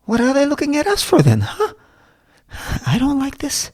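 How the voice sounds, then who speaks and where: A man's voice: huge pitch swings, moderate pace, a little mellow, full character voice. A man voicing anxious young women in a western screenplay reading, with uneasy, questioning lines. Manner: high-pitched, uneasy, questioning.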